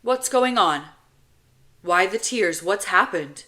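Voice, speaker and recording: A woman's voice: mid-range voice, expressive, slow pace, pushed and bright. A woman voicing a blunt, protective young male soldier and older brother in an audiobook. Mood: concerned. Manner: stern, blunt, commanding.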